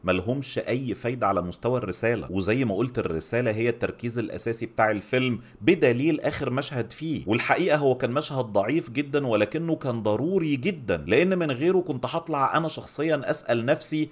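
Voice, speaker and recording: Very fast-talking middle-aged Egyptian man. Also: medium pitch. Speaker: film reviewer, host. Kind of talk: opinionated review to camera. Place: small indoor home studio. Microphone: microphone on a boom arm, close up.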